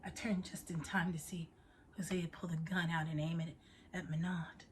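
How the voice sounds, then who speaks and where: Actress as a hushed, haunted woman confiding. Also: lowish voice, flat delivery, slight background noise, close to an everyday voice. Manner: hushed, breathy, measured, confiding. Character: haunted woman confiding a tale of illicit passion. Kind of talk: intimate dramatic storytelling monologue.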